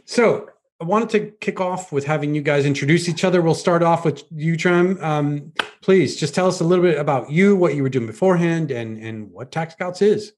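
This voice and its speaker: A man's voice: on the high side, moderate pace, darkish tone. A man in his forties hosting a podcast on a video call, upbeat, with long run-on questions. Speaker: podcast host. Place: home room indoors.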